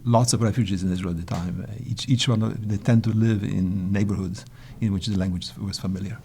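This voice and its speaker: Gravelly older man, hesitant. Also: lowish voice, steady tone, a little fast, dark tone, slight background noise. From Poland. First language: Polish. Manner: hesitant, with many restarts and fillers. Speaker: interviewee. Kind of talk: reflective interview answers.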